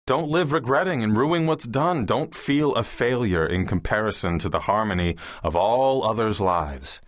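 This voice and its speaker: A man performs an embittered, combative publisher in an audiobook story told in verse. The voice is fairly low and recorded dry, with a declamatory, exhorting delivery aimed straight at readers. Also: dry recording. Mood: rousing. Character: combative, embittered divorced newspaper publisher rallying his readers. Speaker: audiobook narrator. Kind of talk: dramatic verse recitation.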